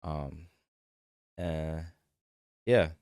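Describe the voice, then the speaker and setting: A young man from Morocco, a university senior, answers podcast questions in an easy, understated way, often pausing on "um". His voice is very low and smooth. The recording is close and dry. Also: no room sound. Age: young adult. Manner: relaxed, understated, dry humor, hesitant "um" fillers. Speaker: student guest. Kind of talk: casual podcast interview.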